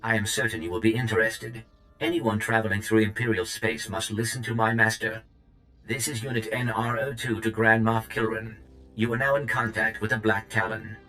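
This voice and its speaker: An actor playing a polite but menacing protocol droid in a video game, speaking formally and precisely. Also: male voice, on the low side, completely flat delivery, normal speed, bright, forceful tone, low-quality recording. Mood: coolly formal.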